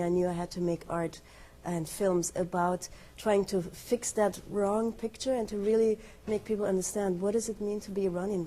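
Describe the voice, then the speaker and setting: Earnest woman guest, low mellow voice. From Iran. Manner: earnest and emphatic. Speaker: guest filmmaker and educator. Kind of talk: casual interview talk.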